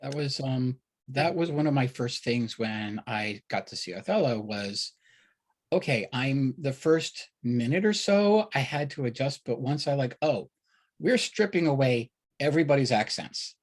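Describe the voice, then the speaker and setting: A man's voice: medium pitch, expressive, a little slow. A man hosting a casual panel conversation, talking informally and acting out reactions as he explains. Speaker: discussion host.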